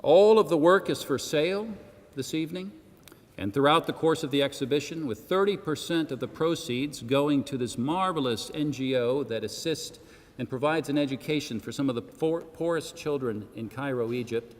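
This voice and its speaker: A man delivering a formal public speech with measured, emphatic oratorical phrasing and the occasional rhetorical aside. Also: a little high. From Senegal. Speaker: arts organisation president giving a launch speech. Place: church hall, addressing a gathering. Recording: long echoing reverb of a large hall.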